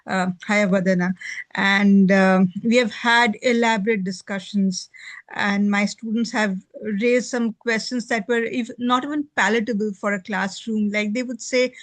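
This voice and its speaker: A woman's voice: on the high side, dry recording. A woman giving her thoughts in a group discussion, speaking in careful, articulate phrases with frequent hesitations. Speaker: book club participant, teacher. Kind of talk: spoken discussion response.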